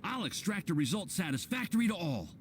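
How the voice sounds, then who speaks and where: An actor playing an earnest, deferential young research assistant in a video game, keen to please. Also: male voice, high-pitched, big pitch swings, a little fast, slight background noise. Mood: eager, earnest. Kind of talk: animated video game acting.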